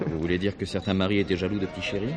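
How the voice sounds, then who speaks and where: An actor playing an earnest, slightly flustered police investigator in a French stage play, insistent and hurried with his questions. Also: low-pitched, fairly even intonation, music in the background, lightly acted. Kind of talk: French stage comedy-mystery acting.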